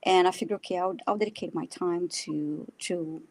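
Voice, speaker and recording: A woman's voice: lowish voice, moderate pace. Hesitant woman with a Brazilian Portuguese accent. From São Paulo, Brazil. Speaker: guest, animal communicator and energy healer. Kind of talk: casual personal storytelling in a live chat.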